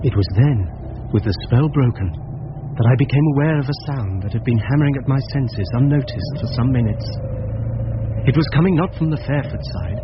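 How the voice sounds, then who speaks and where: An actor playing a tense witness, narrating a radio mystery in a measured, suspenseful manner. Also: male voice, medium pitch, natural voice. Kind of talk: scripted radio drama narration. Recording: dull radio recording, clear background noise.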